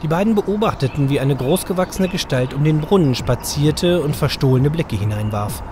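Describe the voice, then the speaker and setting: A man's voice: fairly high pitch, lively intonation, normal speed, background noise. A man reading scripted narration as the narrator of a children's audio drama.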